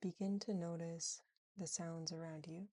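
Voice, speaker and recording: Slow, mellow woman guiding relaxation. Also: fairly low pitch, fairly even intonation, no room sound. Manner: soothing, gentle, unhurried with long pauses. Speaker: yoga nidra guide. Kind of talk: guided relaxation meditation.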